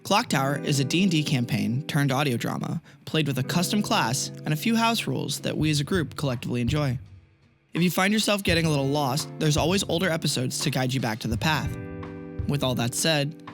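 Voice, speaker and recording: A man's voice: a little high, expressive, brisk, over music. A man reading a scripted welcome as host of a podcast. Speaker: show host.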